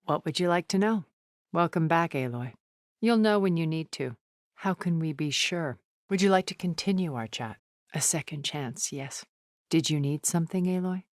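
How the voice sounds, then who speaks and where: An actress playing a poised, cultured, wealthy woman in a video game, speaking in a measured, formal, refined way. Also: deep voice, expressive, no echo, clean studio sound. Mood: composed. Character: poised, cultured, wealthy woman, a thousand years old, sounding middle-aged. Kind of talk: video game character dialogue.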